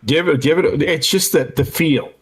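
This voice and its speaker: A man's voice: a little high, moderate pace, breathy edge. A man co-hosting a podcast, chatting casually in an informal, supportive way. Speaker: podcast co-host. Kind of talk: casual chat.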